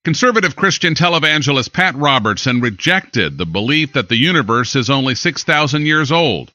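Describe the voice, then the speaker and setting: An American man reading scripted news as an anchor, with an emphatic, deliberate newsreader delivery. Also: mid-range voice, very animated, sharp tone, no room sound.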